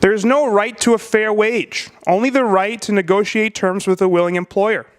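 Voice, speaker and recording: A man delivering a prepared debate speech, emphatic and rhetorical, with deliberate pauses. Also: high voice, steady tone, smooth.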